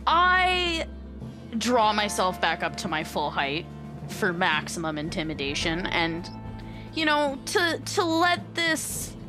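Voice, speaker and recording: A woman's voice: medium pitch, very animated, slow pace, over music. A woman chatting and improvising casually as a guest player in a tabletop game.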